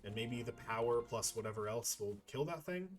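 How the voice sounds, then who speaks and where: Male let's-play host, medium pitch, loose and playful commentary, game music behind. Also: lively intonation, moderate pace. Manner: rambling, playful, profane, thinking aloud. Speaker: gaming YouTuber playing and commenting.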